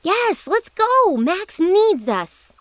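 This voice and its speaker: A sassy, impatient young girl in a children's audio drama. Her voice is very high and swoops up and down, excitable and full of teasing. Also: extremely slow, heavily acted. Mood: excited, urgent. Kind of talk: kids' audio drama acting.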